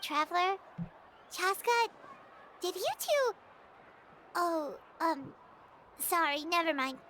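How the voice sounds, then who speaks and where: A woman voicing a tiny, excitable, childlike fairy companion in an anime game, with a squeaky voice. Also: slow, noisy background, heavily acted. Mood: hesitant. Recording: music behind.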